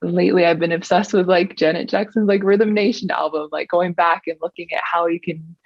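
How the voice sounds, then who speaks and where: Gravelly New woman, chatty. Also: fairly low pitch, fairly even intonation, a little fast, no echo, highs cut off. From New Zealand. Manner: chatty, frequent "like" and "um" fillers. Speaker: singer-songwriter guest. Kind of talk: casual interview chat.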